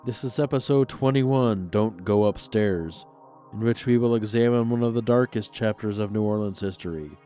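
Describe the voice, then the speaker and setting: A man's voice: lowish voice, normal speed, warm tone, faint music behind. A congested, stuffed-up man hosting a paranormal podcast, reading scripted narration with playfully spooky, theatrical patter. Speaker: podcast host.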